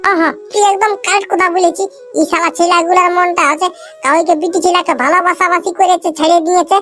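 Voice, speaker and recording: Super squeaky village cartoon kid, purulia bengali accent, brash schemer, whiny and boastful. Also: flat delivery, fast talker, pushed and bright, soft background music. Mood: mocking. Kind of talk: exaggerated comedy cartoon dialogue.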